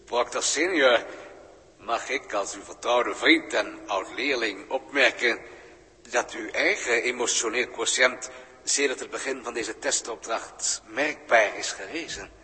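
A loyal, formal alien communications operator from a vintage science-fiction radio play. His voice is sharp and bright, with clipped official phrasing. It rings out in long, cavernous reverb. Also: medium pitch, a little slow. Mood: respectfully frank. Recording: long reverberant hall, old radio recording.

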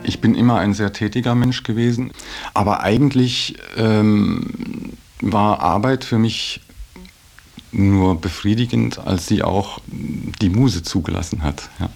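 A middle-aged man speaking reflectively about himself, halting and thoughtful, often adding small tag words. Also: fairly low pitch, gravelly, darkish tone. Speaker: interviewee in a radio feature. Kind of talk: reflective personal account in conversation.

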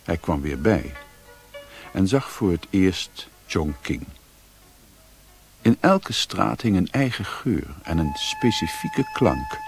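Radio play narrator: literary, deliberate storyteller, creaky low voice, soft music underneath. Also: male voice, very animated, exaggerated voice. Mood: mysterious, vivid. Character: grave storyteller recounting a mysterious journey.

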